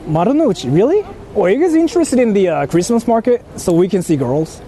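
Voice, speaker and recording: A man's voice: high-pitched, sing-song, fast, dark tone. A man from Japan chatting casually to livestream viewers in slangy, repetitive phrases, out on a city street. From Japan (Ichihara). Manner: repeats himself, informal slangy talk. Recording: noisy urban street with traffic.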